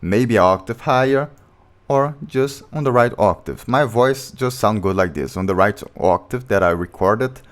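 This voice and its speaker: A man with a low voice, a music producer walking through a beatmaking tutorial step by step in slightly non-native English. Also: normal speed. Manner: plain step-by-step explaining, non-native grammar. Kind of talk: casual screen-recorded tutorial. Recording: beat music playing behind the voice.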